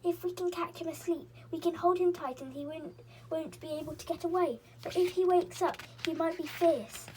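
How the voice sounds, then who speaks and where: A woman voicing an excitable little girl in a storybook, speaking eagerly and breathlessly. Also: very high-pitched, moderate pace, far from the mic, a little hiss or hum, voice pushed up, low-quality recording.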